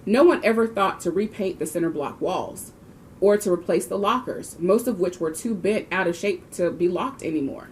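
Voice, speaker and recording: A woman's voice: lowish voice, moderate pace, a little hiss or hum. A woman reading a children's book aloud expressively, with the occasional small stumble. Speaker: volunteer reader of a children's book. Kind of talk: storybook read-aloud.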